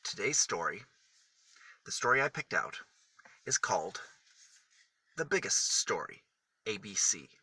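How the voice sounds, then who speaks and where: A man reading a picture book aloud for small children, with deliberate, emphatic phrasing that sets single words apart. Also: on the low side, big pitch swings, bright, forceful tone, slightly rough. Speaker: children's storybook reader.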